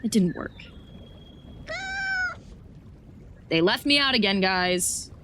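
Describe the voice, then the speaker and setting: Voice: mid-range voice, lively intonation, moderate pace, background noise, natural voice. Performer playing a wry traveler, lilting voice. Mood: deflated. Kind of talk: audio drama monologue, naturalistic.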